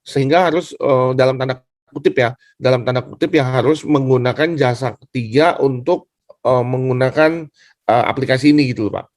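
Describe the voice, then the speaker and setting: A man's voice: mid-range voice, a little fast, a little mellow, no echo, clean studio sound. A man speaking as a student in a class discussion, explaining informally and deferentially, circling back over his points. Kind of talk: informal spoken question and explanation.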